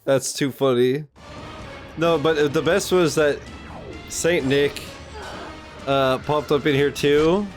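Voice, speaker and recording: A man's voice: fairly high pitch, steady tone, takes it slowly, long pauses. A man hosting a reaction stream, chatting casually in a slangy, sweary, rambling way. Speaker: reaction video host. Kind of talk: casual reaction chat with viewers. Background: music from the game.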